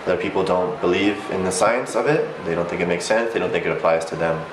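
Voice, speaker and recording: A man's voice: deep voice, fast, background noise. A young man from Philadelphia, answering interview questions in an organized, thoughtful way. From Philadelphia, USA. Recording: roomy sound over background music.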